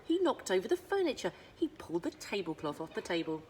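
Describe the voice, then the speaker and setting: A woman narrating a children's storybook as a librarian would, with dramatic pauses and emphasis. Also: medium pitch, very animated, darkish tone, a little hiss or hum. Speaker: school librarian reading aloud.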